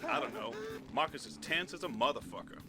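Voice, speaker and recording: Man playing a curt streetwise gang thug, mid-pitched. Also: animated, fairly quick, a crowd in the background, close to an everyday voice. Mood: wary. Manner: slangy, profane, curt. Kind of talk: video game character acting. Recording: game dialogue with music behind.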